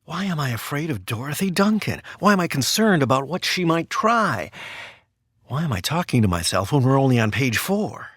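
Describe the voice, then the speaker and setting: Man playing a nervous, animated, exasperated aide. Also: mid-range voice, normal speed, breathy edge, dry recording. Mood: anxious muttering. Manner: anxious, weary, stammering, deadpan protests. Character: nervous, prim, exasperated middle-aged male presidential aide. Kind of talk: comedic audio drama acting.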